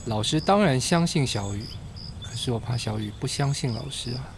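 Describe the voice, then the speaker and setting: A man's voice: mid-range voice, lively intonation, normal speed, gravelly, background noise. A man acting a patient, weary middle-aged teacher in a radio drama. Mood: patient, tired.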